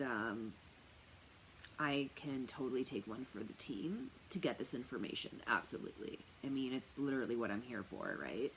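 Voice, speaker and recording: Slow, low-voiced chatty woman. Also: a little hiss or hum, low-quality recording. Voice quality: loud, projecting voice. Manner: chatty, rambling, many fillers. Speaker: tarot reader and remote viewer. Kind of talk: casual talk to camera. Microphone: noise-canceling earphones on.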